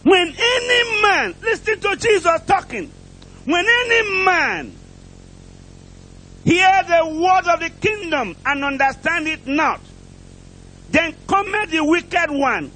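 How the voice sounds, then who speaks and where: A male preacher with a strikingly high-pitched voice delivers an impassioned sermon. He declaims in short, repeated phrases and puts rhetorical questions to his listeners. There is faint noise and rumble behind him. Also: animated, slow pace, bright, forceful tone, poor audio. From outside England, preaches there as a visitor. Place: church pulpit, preaching to a congregation.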